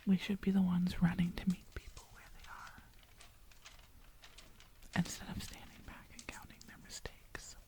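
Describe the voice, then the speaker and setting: A woman's voice: fairly low pitch, monotone, moderate pace, long pauses, dark tone, slightly rough. A woman whispering as she retells a Bible story in a casual, slangy way.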